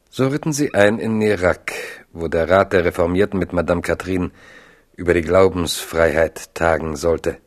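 Erzähler mit sehr tiefer Stimme. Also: male voice, low-pitched. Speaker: narrator. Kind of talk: scripted literary narration.

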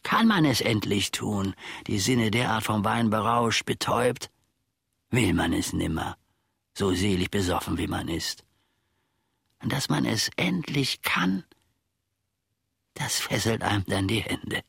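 An actor playing a melancholy, self-mocking old manservant in a radio play monologue, ruminating haltingly with long dramatic pauses. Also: male voice, fairly low pitch, lively intonation, vocal fry, fairly bright tone, breathy edge, no echo, studio quality, heavily acted. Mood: brooding, rambling. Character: melancholy, wryly self-mocking old manservant looking back on his life.

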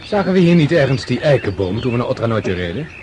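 A man's voice: mid-range voice, big pitch swings, fast talker, darkish tone, slight background noise, close to an everyday voice. An actor playing an eager young nobleman giving orders in a radio drama.

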